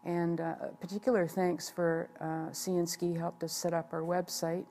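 Soft-spoken older woman, low and flat. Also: slight background noise. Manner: plain, measured, with frequent fillers. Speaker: ski club president. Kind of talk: on-camera interview. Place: indoor room by a wood stove.

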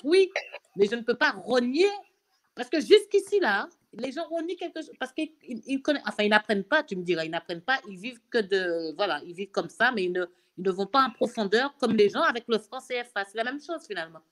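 A woman with a bright, forceful and fairly high voice joins a live discussion. She reflects casually, restarting and searching for words as she goes.

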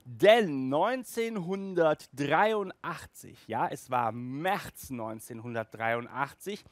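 Slow-paced man hosting a collector show. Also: a little high, lively intonation, no room sound. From Germany. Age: 40s. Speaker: collector magazine show host. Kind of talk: casual enthusiastic presenting to camera. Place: small indoor room with toy displays. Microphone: handheld microphone, later headset.

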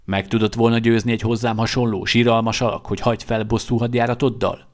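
A man's voice: medium pitch, flat delivery, lightly acted. A man voicing a male vampire prince who speaks in a grave, reflective way. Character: male vampire prince, devoted to his clan.

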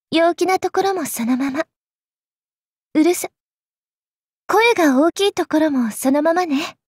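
A woman voicing an aloof, gloomy yet playful young royal lady in an anime game, refined and softly ironic. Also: high voice, no room sound, clean studio sound. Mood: wry. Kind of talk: anime game character voice acting.

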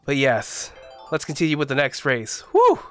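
A young man with a congested voice from the flu, giving casual, excitable commentary as he plays a racing game at home on a desk mic. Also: medium pitch, moderate pace, smooth, faint music behind. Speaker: gaming YouTuber. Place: home gaming room. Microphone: desk mic, face camera.

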